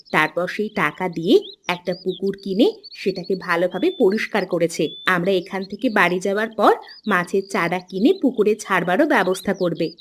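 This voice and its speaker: A woman's voice: mid-range voice, close to an everyday voice. A woman playing a chatty, earnest young married daughter in a cartoon folk tale. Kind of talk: Bengali animated folk-tale dialogue. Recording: clear background noise, chirping birds.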